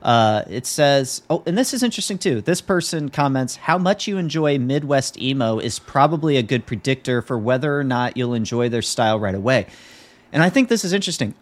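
A man's voice: mid-range voice, lively intonation, brisk. A man hosting a podcast in a casual, conversational chat style. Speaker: podcast host.

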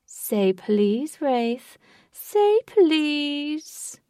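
A woman voicing a crazed, taunting male master of a sinister ride in a storybook, with theatrical, drawn-out mockery. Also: sits high, big pitch swings, warm tone, no room sound, studio quality, far from the mic, voice pushed up, full character voice. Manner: theatrical, drawn-out mocking delivery.

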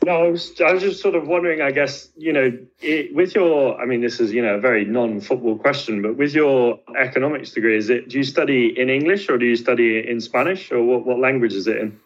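A man's voice: mid-range voice, fairly even intonation, fairly quick, hardly any pauses. Guy asking questions in a casual chat, kinda tentative, mid voice, slightly lo-fi. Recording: slightly dull, lo-fi audio.

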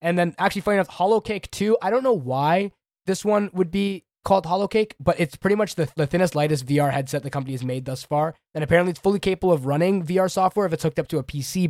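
A young man co-hosting a casual tech podcast, chatty and quick, a little under the weather. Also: fairly high pitch, darkish tone, no room sound, clean studio sound. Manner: quick, chatty, many restarts and "like"s. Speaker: podcast co-host.

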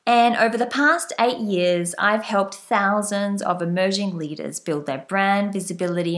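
A woman's voice: mid-range voice, fairly bright tone. A woman with a light Australian accent hosting her own podcast as a leadership coach, speaking straight to the listener in an earnest, motivational way. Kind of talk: solo podcast monologue.

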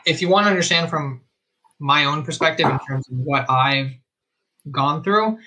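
Bright-voiced young man, earnest and reflective. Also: on the high side, moderate pace, poor audio. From Israel. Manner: earnest and reflective, with frequent fillers and restarts. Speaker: host of a live discussion stream. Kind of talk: earnest livestream talk.

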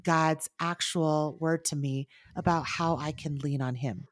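A woman in her thirties whose native tongue is Mandarin, co-hosting a casual faith podcast with earnest, animated talk that speeds up in bursts. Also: low voice, measured pace, dry recording, clean studio sound. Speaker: podcast co-host.

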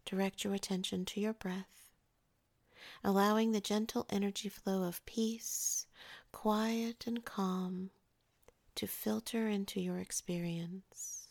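A woman narrating a scripted guided meditation in a soothing, measured way, softening the ends of her phrases. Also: medium pitch, steady tone, vocal fry.

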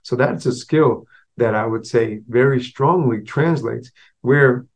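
A man's voice: medium pitch, a little slow. A man hosting a podcast solo, talking casually with a slight stutter and restarts, mixing street slang with business talk. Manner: conversational, street slang mixed with business terms. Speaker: podcast host.

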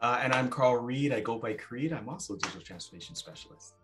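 A man's voice: mid-range voice, fast. Casual male co-host over faint music. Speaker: podcast co-host. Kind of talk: casual conversational interviewing.

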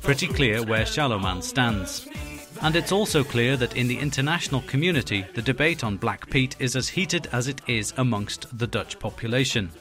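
A man narrating a scripted report in a measured, wry manner. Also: medium pitch, animated, fairly bright tone. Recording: faint music underneath.

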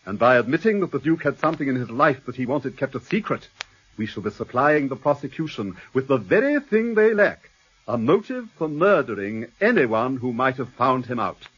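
An authoritative English barrister, formal and shrewd, with an upper-class accent. His husky voice moves expressively in pitch on a slightly dull radio recording. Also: very animated, moderate pace, fairly bright tone. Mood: grave. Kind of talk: BBC radio drama acting.

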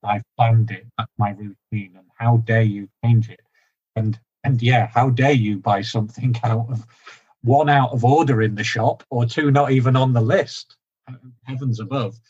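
A man from the UK talking candidly as a podcast guest, loud and direct, often mocking himself. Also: medium pitch, steady tone, moderate pace, slightly rough, muffled recording. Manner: loud, direct, self-deprecating. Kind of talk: candid conversational interview.

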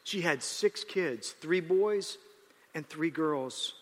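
A man's voice: fairly high pitch, animated, takes it slowly, a bit bright. A man preaching in a loose storytelling way, often restarting his sentences. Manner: rambling, self-correcting, laughs at times. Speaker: pastor. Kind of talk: conversational sermon storytelling. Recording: long hall reverb.

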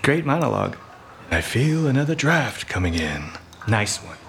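A man's voice: medium pitch, very animated, moderate pace. A man acting a put-upon detective's sidekick who turns coolly menacing, with a theatrical, sardonic delivery, in a hard-boiled comic audio drama. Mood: smug, menacing. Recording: clear background noise with clicks.

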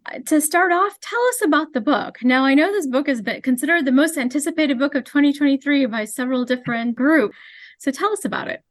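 A woman's voice: sits high, fairly quick. A woman hosting a podcast, asking questions in a conversational interview style.

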